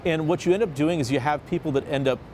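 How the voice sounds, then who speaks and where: A man hosting a casual roundtable discussion, talking in an animated and jokey way with an upbeat lilt. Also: on the high side, fast talker, slight background noise. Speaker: host of a discussion panel. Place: seated at a table in a city.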